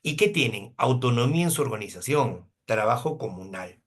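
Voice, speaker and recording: A man's voice: medium pitch, expressive, normal speed. A Peruvian man in his fifties teaching a law class, asking rhetorical questions and answering them himself. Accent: Peruvian Spanish. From Peru, coast. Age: 50s. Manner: asks questions and answers them himself, uses hypothetical examples. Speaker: law lecturer.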